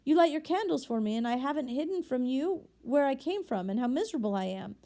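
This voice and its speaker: A woman's voice: sits high, expressive, fast, warm tone, voice pushed up. A woman voicing a stammering ex-convict man. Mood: grateful. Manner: stammering, pleading, tumbling words. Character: desperate ex-convict man, 46, worn and astonished.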